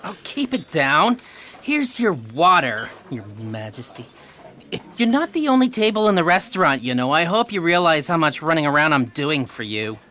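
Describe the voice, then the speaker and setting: An actress as a put-upon female waiter in a comic audio drama. Her tone is bright and cutting, swinging widely in pitch. She is grudging and loudly sarcastic under a show of politeness. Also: sing-song, normal speed, a little hiss or hum. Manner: exaggerated sarcasm, mock politeness. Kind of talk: comedic sci-fi audio theatre acting.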